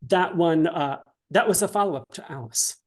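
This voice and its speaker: A man answering interview questions casually, in a hesitant, halting and polite way. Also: a little high. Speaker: interview guest, dramatist and author.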